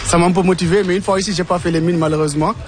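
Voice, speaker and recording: A man's voice: high-pitched, steady tone, very fast. A man from Senegal with a Senegalese accent answering casually in an interview. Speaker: interviewee, telecom engineer.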